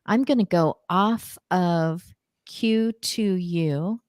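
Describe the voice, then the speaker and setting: A woman with a low, thin alto voice, a guest on a video call speaking in a deliberate, upbeat and earnest way. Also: a little mellow, no echo, clean studio sound. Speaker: guest charity representative. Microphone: video call, changing microphones.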